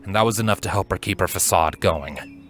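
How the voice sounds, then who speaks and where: A man narrating scripted fiction as a storyteller, with an expressive, suspenseful delivery. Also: deep voice, fairly quick, soft background music.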